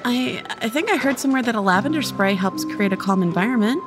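A woman's voice: a little high, animated, quick pace, over music, close to an everyday voice. A young woman acting a chatty, blunt college student who cares about her friend, in a casual, wry audio drama delivery. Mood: helpful. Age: young adult. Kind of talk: dramatic dialogue in old time radio style audio play.

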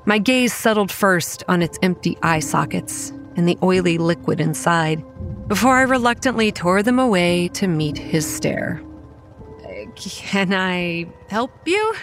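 An actress playing a wary, anxious young campground manager who narrates a horror audio drama in the first person. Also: female voice, on the low side, animated, a little slow, over music. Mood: guarded.

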